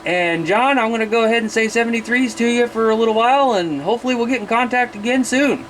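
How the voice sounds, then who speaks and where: A man's voice: very high-pitched, quick pace, a bit bright, slight background noise, rough recording. An American man chatting enthusiastically as a CB radio operator, talking to other operators and to his viewers. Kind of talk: casual radio chat and vlogging to viewers.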